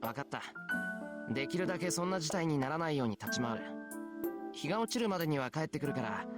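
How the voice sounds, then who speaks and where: A man's voice: on the high side, expressive, very fast, close to an everyday voice. A man voicing an earnest, easygoing teenage schoolboy in a Japanese visual novel. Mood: resolute. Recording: loud game music over voice.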